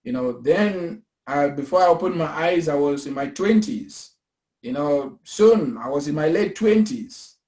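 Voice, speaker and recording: A man's voice: fairly high pitch, expressive, unhurried, a little mellow. A man in his thirties from Cameroon, talking earnestly about investing and pensions, repeating his points with preacher-like emphasis. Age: 30s. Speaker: investment company founder. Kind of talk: casual pitch talk. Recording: echoey room.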